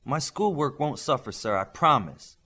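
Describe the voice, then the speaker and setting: Young male superhero trainee, earnest and eager, lively range, played by a male audiobook reader. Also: mid-range voice, moderate pace, breathy edge, voice pushed up. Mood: pleading, earnest. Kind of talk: audiobook character dialogue.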